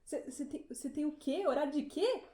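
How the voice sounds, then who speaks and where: A woman's voice: high voice, normal speed, reverberant, crowd noise, natural voice. A woman playing an exasperated, bewildered mother who scolds dramatically in an audio play.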